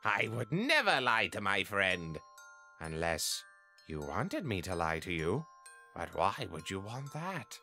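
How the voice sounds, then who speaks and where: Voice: low voice, sing-song, slow, sharp tone, soft background music, heavily acted. A performer voicing a cheerful, theatrical skeleton monster in a comic dub, earnest and breezily nonchalant. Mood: breezy. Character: cheerful, self-centered, theatrical simple-hearted skeleton monster. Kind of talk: comic dub character acting.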